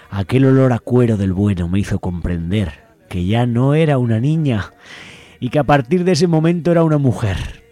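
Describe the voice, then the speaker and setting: A man's voice: fairly low pitch, dark tone, close to an everyday voice. A man voicing a pompous, hungover female agony aunt in a comic radio parody, grandiose and gushing.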